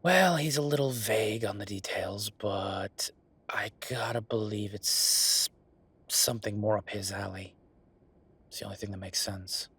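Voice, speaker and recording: A man's voice: lowish voice, measured pace, fairly bright tone, slightly rough, heavily acted. A man playing an affectionate, teasing werewolf bureaucrat in an intimate romantic audio roleplay, speaking conversationally with murmured asides. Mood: wry, confiding. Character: Viktor, affectionate teasing male werewolf bureaucrat, weary but playful.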